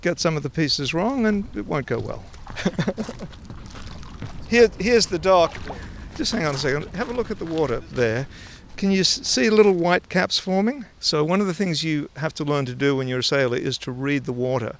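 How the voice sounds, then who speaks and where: Gravelly Australian man, enthusiastic. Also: on the high side, expressive, moderate pace, slight background noise. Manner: enthusiastic, restarts sentences. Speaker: interviewee, river sailor. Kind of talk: casual outdoor interview. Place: outdoors by a river dock.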